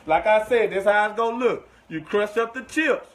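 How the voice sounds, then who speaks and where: A man's voice: high-pitched, normal speed, fairly bright tone. A man giving a casual cooking demonstration as a host, informal and slangy, often repeating his instructions. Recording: echoey room with background noise.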